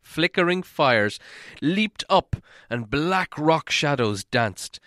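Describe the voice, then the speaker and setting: A man reading a fantasy story aloud as scripted narration, in a theatrical, deliberate manner. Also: fairly high pitch, expressive, fairly bright tone, no echo, clean studio sound. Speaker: audiobook reader.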